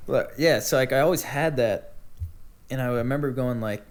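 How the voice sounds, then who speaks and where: A man's voice: medium pitch, moderate pace. A young man in casual podcast chat, laid-back and rambling, swearing freely as he tells his story. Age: young adult. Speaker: podcast guest.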